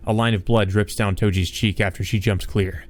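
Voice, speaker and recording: Low-voiced man narrating a visual novel. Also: fairly even intonation, moderate pace. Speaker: let's player, narrator. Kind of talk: dramatic reading of a visual novel.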